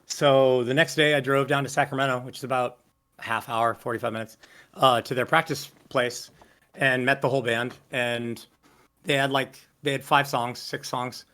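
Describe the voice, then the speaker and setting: A man with a low voice casually telling stories in an interview, rambling with lots of fillers and restarts. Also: flat delivery, moderate pace, bright, forceful tone. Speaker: singer interviewee. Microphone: video call.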